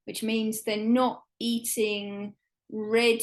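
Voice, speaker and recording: A woman's voice: a little high, flat delivery, very slow. Chatty woman guest. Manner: chatty and enthusiastic, many "um" fillers. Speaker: travel guidebook writer, guest. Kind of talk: conversational podcast interview.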